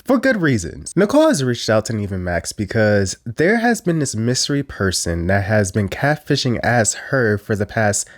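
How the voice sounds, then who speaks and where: A man's voice: lowish voice, very animated, moderate pace, hardly any pauses, dry recording, studio quality. A congested man getting over a cold, chatting bluntly and casually as he recaps a reality show. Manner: chatty, blunt, a little crude. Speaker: YouTube commentator. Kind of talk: casual reaction commentary.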